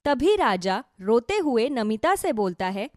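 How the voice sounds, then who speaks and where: Woman storyteller reading a kids fable, highish voice, super expressive pitch, dry sound. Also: a little slow, no echo. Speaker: storyteller, narrator. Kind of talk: scripted Hindi children's story narration.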